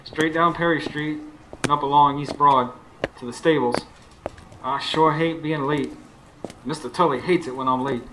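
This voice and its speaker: A man plays a hard-working, worn-out husband with worry in his delivery. His voice sits a little high, in radio drama style. There is slight noise in the recording. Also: steady tone, moderate pace, lightly acted. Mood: weary, musing. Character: weary, anxious, hard-working husband haunted at night. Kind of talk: radio drama acting with first-person narration. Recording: faint background noise, live stage.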